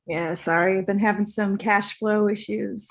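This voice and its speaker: A woman's voice: mid-range voice, steady tone, moderate pace, creaky, natural voice. A woman reading the part of a hapless, apologetic young male star, hesitant and stammering, at a comedy table read. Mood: awkward, apologetic.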